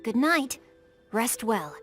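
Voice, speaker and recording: Refined, bookish boy, high-pitched, music behind. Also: sing-song, takes it slowly. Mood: genteel, content. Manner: eloquent, formal phrasing with playful teasing. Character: refined, bookish, playful boy with a chivalrous streak. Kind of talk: video game character voice acting.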